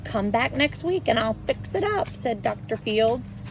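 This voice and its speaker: A woman reading a children's picture book aloud in an expressive, animated way, stressing and drawing out key words. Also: a little high, over music, low-quality recording. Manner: animated, storytelling with emphatic stresses and drawn-out words. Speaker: read-aloud presenter.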